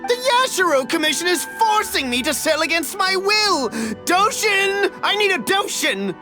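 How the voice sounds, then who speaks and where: A man's voice: very high voice, sing-song, normal speed, music in the background. A man playing a brash, greedy, resentful merchant in a video game, with a theatrical, sneering, wheedling delivery. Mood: mock panic. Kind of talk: video game character acting.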